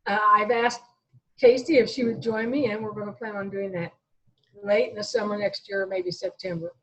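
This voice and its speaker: A woman's voice: mid-range voice, steady tone, moderate pace, a bit bright, slight background noise, low-quality recording. An American woman in her sixties talking conversationally as an interview guest, telling her own story. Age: 60s.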